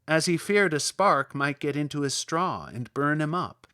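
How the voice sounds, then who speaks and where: A man narrates a children's storybook as a podcast reader. His voice sits a little high and moves expressively up and down, with a dry, close sound. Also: bright, forceful tone, no echo. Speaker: podcast host and audiobook reader.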